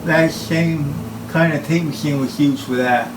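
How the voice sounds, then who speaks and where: A man giving an informal, halting talk about equipment as a hobbyist presenter, speaking in short phrases. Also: fairly high pitch, fairly even intonation, over music, rough recording. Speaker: hobbyist presenter showing his tape machines.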